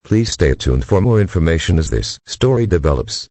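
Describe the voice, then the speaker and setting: A man's voice: deep voice, very animated, normal speed, dark tone, dry recording. A man reading scripted emergency alerts as an announcer, in a formal, synthetic-sounding delivery.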